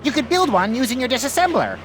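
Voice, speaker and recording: An actor voicing an excitable, helpful young inventor in a video game cutscene. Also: sits high, fast talker, over music, low-quality recording. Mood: eager. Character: eager young inventor cousin.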